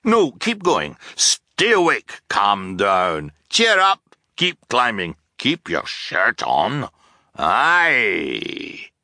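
A man voicing a cheerful, scatterbrained enormous male submarine captain who booms out contradictory orders. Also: wildly swooping pitch, drawn-out words, bright, forceful tone, no room sound, studio quality, full character voice. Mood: cheerful, scattered. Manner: booming, blurting contradictory orders.

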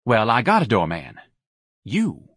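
A man's voice: lowish voice, wildly swooping pitch, unhurried, a bit bright, breathy edge, dry recording, clean studio sound, exaggerated voice. A man voicing a hard, scheming woman who runs a bar, curt and browbeating, in an audiobook. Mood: cold, scheming.

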